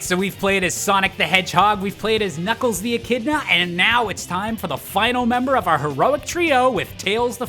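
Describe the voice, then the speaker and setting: A man's voice: high voice, bright, forceful tone. A man narrating a comedic video game critique in an exaggerated, sarcastic, mock-exasperated way, with rambling asides. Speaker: host of a parody video game critique series.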